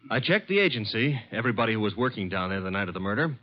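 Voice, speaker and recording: A man playing a brisk, wisecracking detective's assistant in an old-time radio drama, with a wry, needling manner. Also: lowish voice, big pitch swings. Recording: dull vintage radio recording.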